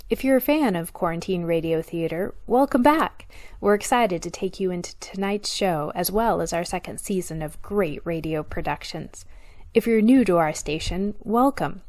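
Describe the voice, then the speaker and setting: A woman's voice: lowish voice, a little mellow, dry recording. A woman reading a scripted introduction as the host of a radio drama show. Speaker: host and director. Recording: music under the voice.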